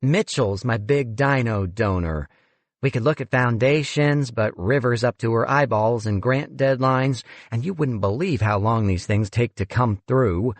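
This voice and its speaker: A man's voice: mid-range voice, a little slow, no echo, voice pushed up. A man voicing an irritable female fundraising chief who talks bluntly and sneers. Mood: curt irritable.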